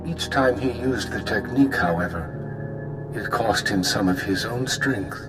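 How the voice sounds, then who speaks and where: A man's voice: fairly low pitch, measured pace, over music, close to an everyday voice. A man voicing a solemn ancient Jedi master spirit in a video game, formal and cautionary. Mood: grave caution.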